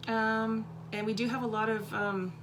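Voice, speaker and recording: A woman co-hosting a casual podcast chat, hoarse today, with a husky, scratchy voice, chatty and rambling, with many fillers. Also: a little high, level tone, moderate pace, a bit bright. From Canada. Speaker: podcast co-host.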